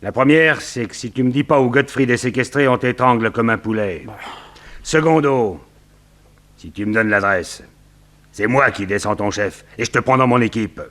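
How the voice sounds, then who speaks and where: An actor plays a menacing male gang boss from a 1960s French radio crime drama. His voice is sharp and bright at a middling pitch. He orders and threatens with theatrical, excitable exasperation. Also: moderate pace, natural voice. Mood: threatening, bargaining.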